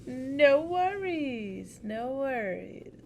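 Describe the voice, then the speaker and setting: Woman streaming a game, chatting slow and casual, rambly, bit of noise behind her. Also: high voice, gravelly. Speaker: live streamer. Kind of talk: casual live game commentary, chatting to viewers. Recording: steady audible background noise.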